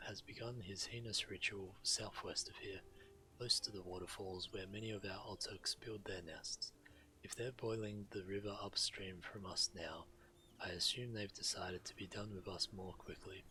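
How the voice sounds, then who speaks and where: Deep voiced walrus tribe warrior, proud n solemn, punchy edge, game ambience behind. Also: male voice, sharp tone, a little hiss or hum, rough recording. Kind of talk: video game character dialogue.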